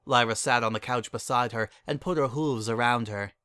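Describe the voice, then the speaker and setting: Bright, dry-sounding male narrator. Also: medium pitch, moderate pace, clear, resonant tone, no room sound. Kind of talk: dramatic story reading.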